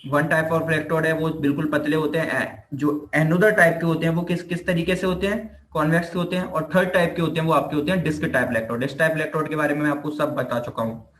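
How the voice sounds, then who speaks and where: A man's voice: on the high side, fairly even intonation, quick pace, hardly any pauses, a bit bright. A man with an Indian accent giving an informal explanatory lecture as a physiotherapy teacher, repeating himself and checking that students follow. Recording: roomy echo on the voice.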